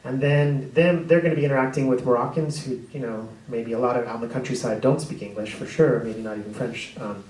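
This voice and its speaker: A man, a film director, telling behind-the-scenes stories on a stage with a handheld mic, rambling and animated. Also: medium pitch, brisk, few pauses, far from the mic. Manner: rambling, animated, gestures a lot. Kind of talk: storytelling in a panel talk. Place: hall with audience. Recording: echoey hall taped from afar.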